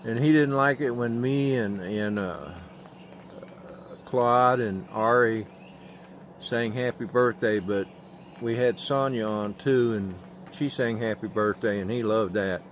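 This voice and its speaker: A man with an Oklahoma drawl talks in a flat monotone, taking his time between words and filling the gaps with "uh". He is streaming live from a phone held in his hand outdoors, with a faint hiss of noise behind him. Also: mid-range voice. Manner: slow, drawling, halting with many fillers. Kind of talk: casual live stream chat, reading viewer comments. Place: outdoors at sunrise. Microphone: handheld phone.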